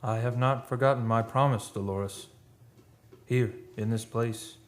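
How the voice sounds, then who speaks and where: A man's voice: mid-range voice, steady tone, a little slow, lightly acted. An actor playing a solemn, devoted man keeping a promise, declaiming in vintage radio drama style. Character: solemn man returned to keep his promise. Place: theater stage, performed live for radio.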